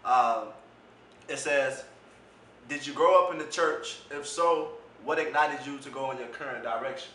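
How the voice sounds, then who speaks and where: A man's voice: mid-range voice, fairly bright tone, slight background noise. A man asking interview questions in an easygoing, affirming way. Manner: easygoing, affirming, quick to laugh along. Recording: distant mic in an echoey room.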